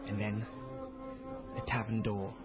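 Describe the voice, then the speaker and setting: A man's voice: on the low side, flat delivery, a little slow, dull audio, loud music behind the voice, natural voice. An actor playing a proud, eager fourteen-year-old boy from travelling players, narrating a radio drama in a chatty, theatrical way. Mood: ominous, hushed.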